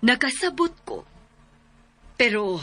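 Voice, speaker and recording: Casting: calm, gracious Cebuano-accented mother, radio drama, measured pace, expressive pitch. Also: dry recording, full character voice. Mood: pleading, placating. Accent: Filipino, Cebuano. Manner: polite, placating, measured. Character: calm, hospitable widowed mother smoothing over a quarrel. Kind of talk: Filipino radio drama acting.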